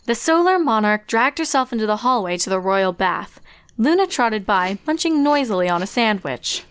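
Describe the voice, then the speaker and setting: Woman narrating a story, fairly high voice. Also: lively intonation, moderate pace, fairly bright tone, smooth, no room sound, clean studio sound. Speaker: fan fiction narrator.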